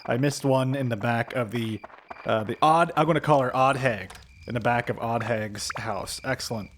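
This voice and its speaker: A man's voice: medium pitch, moderate pace, a little hiss or hum. A man hosting a let's play with casual, rambling commentary and jokey exaggeration.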